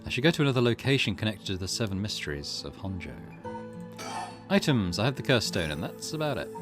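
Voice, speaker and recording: Low-voiced man doing casual game commentary over music. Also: very animated, fast talker. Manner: casual and chatty, thinking aloud. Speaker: gaming channel host. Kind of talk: let's play commentary, reading game text aloud.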